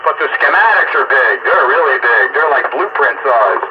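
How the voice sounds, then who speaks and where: A man's voice: mid-range voice, sing-song, moderate pace. An older man chatting casually and folksily over the radio, rambling like a hobbyist operator. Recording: noisy, muffled two-way radio transmission.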